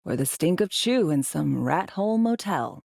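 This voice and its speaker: A low female voice, recorded close and dry, plays a worldly spy for a video game. She talks in a clipped, slangy way, with a sardonic edge and the weariness of someone long in the field. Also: lively intonation, normal speed, gravelly, a little mellow, no room sound, full character voice. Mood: wistful, candid. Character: world-weary, wry female undercover spy in a dystopian city. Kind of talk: video game voice acting, conversational dialogue.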